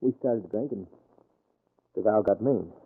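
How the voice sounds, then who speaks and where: An actor playing a troubled, bewildered murder suspect, earnest and uncertain, in an old-time radio crime drama. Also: male voice, on the low side, moderate pace, husky. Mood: troubled, recounting. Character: troubled, bewildered male murder suspect recounting his night. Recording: muffled, narrow-band vintage radio recording.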